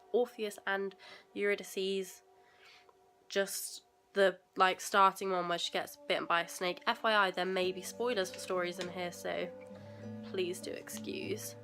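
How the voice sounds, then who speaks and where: A woman's voice: mid-range voice, steady tone, moderate pace, a bit bright, faint music behind. A young woman from England, a university student, chatting casually in a rambling way with a stuffy nose from a cold, at home. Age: young adult. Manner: chatty and rambling, many fillers and asides. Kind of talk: casual vlog chat. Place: at home indoors.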